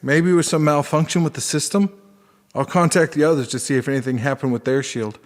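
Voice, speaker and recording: An actor playing an easygoing, helpful station colleague in a sci-fi audio drama. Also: male voice, on the high side, steady tone, fairly quick, in a large hall, lightly acted. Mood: casual, helpful.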